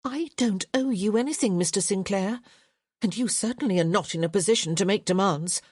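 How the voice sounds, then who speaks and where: Woman doing haughty young noblewoman, husky, clipped and cutting, higher voice, dry close sound. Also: brisk, darkish tone, no echo, close to an everyday voice. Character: proud, sharp-tongued young noblewoman. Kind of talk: audiobook character voice.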